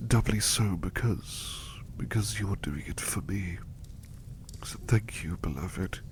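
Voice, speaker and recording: A man playing a gentle, devoted butler in a soft ASMR roleplay, bashful and effusively polite, repeating his phrases in a halting, hushed way. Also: low-pitched, background noise. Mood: drowsy, tender. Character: gentle devoted male butler, bashful, eager to please, doting.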